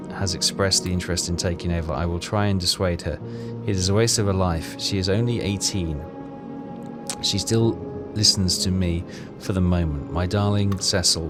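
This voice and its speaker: Low-voiced man thinking aloud over loud music. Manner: muttering, thinking aloud, halting while reading. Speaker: video game walkthrough player. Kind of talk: casual game commentary mixed with reading in-game text aloud. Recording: voice under loud game music. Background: loud game music.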